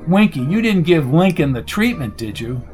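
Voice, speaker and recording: A confident, needling football coach from an audiobook, read by a man. He probes with sardonic ease in a moderately high male voice. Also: sing-song, normal speed, darkish tone, soft background music. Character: Jones, cocky, needling male football coach and amateur sleuth.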